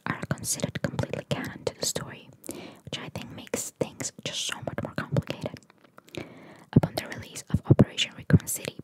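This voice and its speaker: Whispering woman, chatty ASMR reading. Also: low-quality recording. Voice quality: breathy, unvoiced whisper. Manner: informal, chatty, reacting to what is read. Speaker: ASMR host reading video game trivia.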